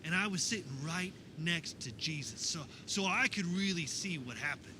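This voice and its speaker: An actor playing an earnest, uneasy disciple of Jesus, telling his story in a halting, conversational radio theater monologue. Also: high voice, expressive, background noise. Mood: uneasy, wondering. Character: earnest, uneasy male disciple of Jesus recalling the last supper. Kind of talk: dramatic first-person radio theater monologue.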